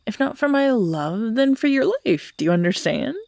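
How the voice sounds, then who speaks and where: A woman playing an obsessive, unhinged yandere who is tender and menacing by turns, speaking in an intimate, halting, breathy ASMR roleplay style that often drops into whispers. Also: on the high side, animated, a little mellow, no room sound, studio quality. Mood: manipulative, lovestruck. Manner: slow, halting, cooing, broken by giggles and sighs.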